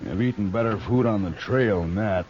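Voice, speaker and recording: An actor playing a brutal, sardonic outlaw with an American accent and folksy, curt Western speech in an old-time radio drama. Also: male voice, on the low side, fairly quick, a little mellow, close to an everyday voice. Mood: menacing. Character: brutal, conscienceless big outlaw bullying his captives. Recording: dull old radio recording, faint noise.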